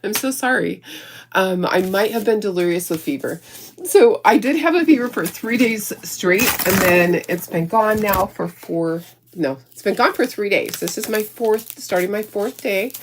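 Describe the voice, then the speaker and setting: A middle-aged woman with a squeaky voice, recovering from illness, demonstrating a craft project in a rambling, giggly, chatty way. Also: lively intonation, moderate pace, noisy background. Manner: rambling, self-interrupting, giggly. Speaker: craft show host.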